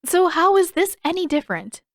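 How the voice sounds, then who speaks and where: A woman's voice: extremely high pitch, big pitch swings, fairly quick, no room sound, clean studio sound, pitched above the performer's own voice, full character voice. A woman voicing a blunt, skeptical teenage girl with matter-of-fact retorts, in a story reading. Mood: teasing, dismissive.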